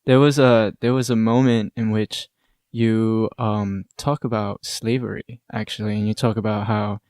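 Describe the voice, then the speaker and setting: A man's voice: lowish voice, level tone, moderate pace, a little mellow, no echo, clean studio sound. A man co-hosting a podcast, interviewing casually and offering admiring, encouraging responses. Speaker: podcast co-host.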